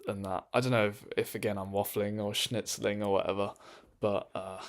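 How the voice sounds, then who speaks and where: A man's voice: fairly low pitch, flat delivery, a little fast. Rambling man streaming from his bedroom. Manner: rambling, lots of ums and restarts. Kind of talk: casual live-stream chat.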